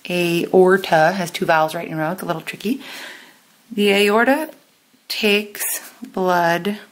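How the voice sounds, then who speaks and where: A woman teaching a step-by-step lesson in a deliberate, instructional way, pausing and stressing key terms. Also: on the low side, low-quality recording. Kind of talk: step-by-step lesson with pauses for note-taking.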